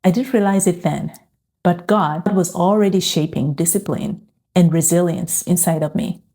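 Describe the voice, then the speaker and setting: A middle-aged woman from Uganda sharing a calm, reflective personal faith testimony. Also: on the low side, normal speed. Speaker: faith and lifestyle vlogger.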